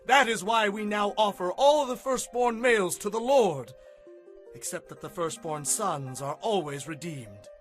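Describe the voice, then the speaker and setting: Casting: male actor as solemn, fervent biblical prophet; raised, forceful voice, declamatory, music underneath. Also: high voice, lively intonation, a little slow. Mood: solemn, instructing. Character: Moses, fervent male prophet solemnly addressing his people. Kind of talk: dramatized Bible reading.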